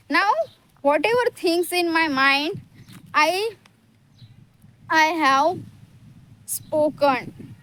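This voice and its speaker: A woman's voice: sits high, bright, forceful tone. A young woman with an Indian accent vlogging to camera outdoors, speaking earnestly and encouragingly with frequent hesitations and restarts. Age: young adult. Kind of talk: motivational vlog to camera. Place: outdoors in a village.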